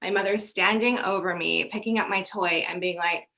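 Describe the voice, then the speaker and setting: A woman's voice: medium pitch, fairly even intonation, normal speed, pushed and bright. A woman hosting a podcast, telling stories in a chatty way with plenty of "you know" and "like". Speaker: podcast host. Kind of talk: podcast interview.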